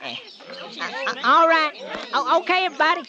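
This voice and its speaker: A man playing an eager, jittery sheriff's deputy in a radio comedy, with a Southern accent and stammering, excitable talk. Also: extremely high pitch, moderate pace. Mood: excited, flustered. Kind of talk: old-time radio comedy drama acting. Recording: dull lo-fi audio with crowd murmur.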